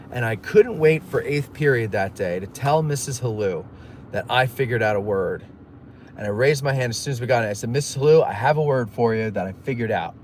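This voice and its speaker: A man's voice: mid-range voice, a little hiss or hum. A man hosting a casual live stream, rambling through stories and jokey asides while reading viewer messages. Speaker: livestream host. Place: in a moving car.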